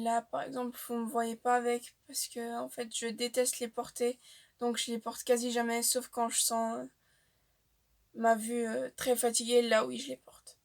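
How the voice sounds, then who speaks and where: A young woman, chatting casually to the camera about herself with frequent filler pauses. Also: on the high side. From Portuguese-speaking family, raised in Switzerland. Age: young adult. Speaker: vlogger. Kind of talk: casual facts-about-me vlog.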